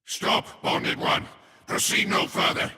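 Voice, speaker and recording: A man voicing a haughty, ominous serpent-folk overlord in a fantasy game, speaking in solemn, formal, imperious pronouncements with a breathy, whispery tone. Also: slow, bright, forceful tone. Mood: stern warning. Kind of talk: scripted fantasy game lore narration. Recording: echoey hall reverb with ambient noise.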